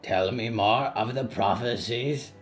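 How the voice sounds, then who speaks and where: A male narrator voicing a curious, gossipy raven-demon that croaks and talks about itself in the third person. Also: medium pitch, takes it slowly, soft background music, lightly acted. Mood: eager. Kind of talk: audiobook character voice.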